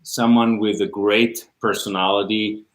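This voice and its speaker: A man with an Israeli accent, Hebrew his first language, talks in a fairly low voice, pausing often with ums and restarting his sentences. He is on a video call, and the room gives his voice a noticeable echo. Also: little pitch movement. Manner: hesitant, many ums and restarts. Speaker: music teacher and guest. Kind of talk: casual interview chat. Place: room, livestream. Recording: roomy echo.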